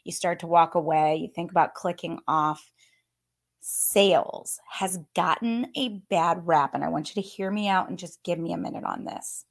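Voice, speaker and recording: A woman in her thirties with a slightly hoarse voice, giving a chatty, upbeat live coaching talk. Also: lowish voice, moderate pace. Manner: upbeat, chatty, often laughing. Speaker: fitness business coach.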